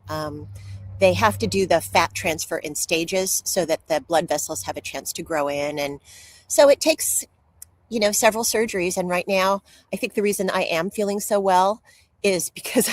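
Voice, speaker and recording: A woman with a slightly low-pitched voice answers as an interview guest. She tells personal stories candidly and openly, like a writer happy to share everything. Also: rough recording. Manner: candid, open oversharer.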